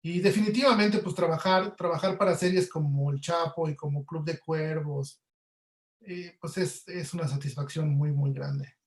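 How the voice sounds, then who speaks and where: A man's voice: fairly high pitch, normal speed. A man with a Mexican accent giving casual answers as an audio producer being interviewed in an online webinar. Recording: roomy, echoey sound.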